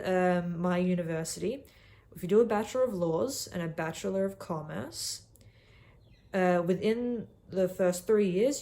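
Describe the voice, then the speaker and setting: Young Aussie woman, slightly low voice, cocky self-deprecating vlog delivery. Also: dark tone. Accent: Australian. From Australia. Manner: brash and self-mocking, calls the viewer sweetheart. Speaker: vlogger. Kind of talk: casual talk to camera. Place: cabin.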